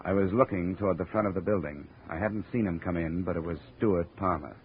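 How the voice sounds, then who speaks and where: An actor playing a tough, wry insurance investigator in 1950s radio drama, with an American accent and a terse, dry delivery. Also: male voice, low voice, a little fast, lightly acted. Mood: grim narration. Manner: terse, hard-boiled, dry. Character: tough wry insurance investigator, hard-boiled male detective. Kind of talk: 1950s radio drama acting with first-person narration. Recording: muffled narrow-band old radio recording, crowd noise.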